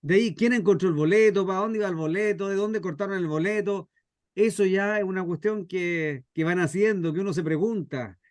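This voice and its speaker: A Chilean man with a somewhat high voice gives an informal lesson as a guest instructor. He teaches through anecdotes and rhetorical questions, in a dry recording free of echo. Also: brisk.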